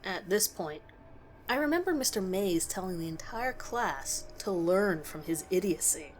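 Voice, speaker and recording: A woman reading a scripted first-person horror story in a measured storytelling way with expressive emphasis. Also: lowish voice, a little hiss or hum.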